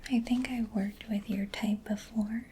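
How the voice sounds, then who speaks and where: Slow, whispering woman in soft ASMR roleplay. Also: on the high side, steady tone, a little hiss or hum. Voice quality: breathy, mostly whispered. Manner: gentle, unhurried, reassuring. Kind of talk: soft-spoken ASMR roleplay as a mechanic examining a client.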